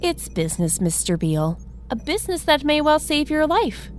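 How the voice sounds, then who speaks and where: Woman as deadpan, high-voiced, blasé insurance agent. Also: moderate pace, soft background music. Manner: deadpan, unbothered. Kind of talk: comedy audio drama acting.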